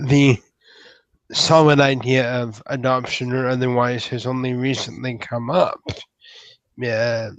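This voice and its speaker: A nervous young troll, played by a man in a tabletop game, picks his way through formal, apologetic sentences. He speaks slowly at a middling pitch, stammering politely, in a close, dry sound. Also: dry recording, highs cut off.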